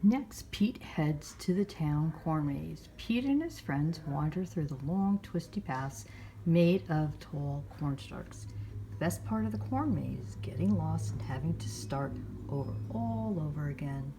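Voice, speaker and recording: A woman hosting storytime, reading a picture book aloud to young children with measured, expressive phrasing. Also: low voice, slight background noise, low-quality recording. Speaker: library storytime host.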